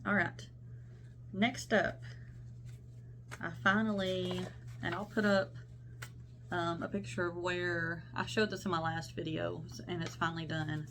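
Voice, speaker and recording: A woman's voice: fairly low pitch, fairly even intonation, measured pace, pauses often, a bit bright, slight background noise. A young woman talking casually about her cross-stitch projects, rambling and self-interrupting, her voice congested from a sinus infection. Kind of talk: casual show-and-tell vlog.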